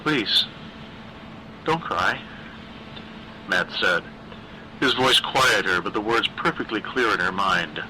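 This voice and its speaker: Male horror audiobook reader. Slightly low, very bright, tense tone, deliberate dramatic pacing, faint background noise. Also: poor audio. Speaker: story narrator, reader. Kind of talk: scripted narration of a dark horror story.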